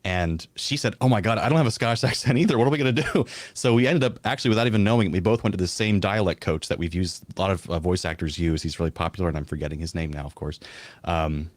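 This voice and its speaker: Quick-talking deep-voiced man. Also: dry recording. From American South. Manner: quick, laughing, chatty. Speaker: voice actor guest. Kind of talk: casual interview chat.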